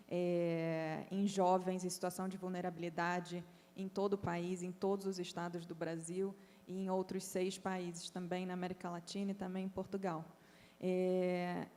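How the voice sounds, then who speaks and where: A woman CEO speaking on a panel, steady and listing points with "é" fillers. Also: fairly low pitch. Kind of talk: panel talk. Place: conference stage in a large hall. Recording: long hall reverb.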